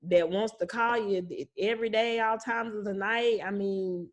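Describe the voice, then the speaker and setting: Plain-spoken woman expert, medium-pitched voice. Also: fairly even intonation, moderate pace. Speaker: property manager, guest expert. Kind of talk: casual explanatory talk.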